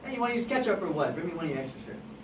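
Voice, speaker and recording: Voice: mid-range voice, sing-song, racing, natural voice. An amateur actor at a table read playing a scheming, persuasive crime photographer with an intense, emphatic delivery. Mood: eager, excited. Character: scheming, persuasive crime photographer who stages fake scenes. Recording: distant, echoey, noisy room recording.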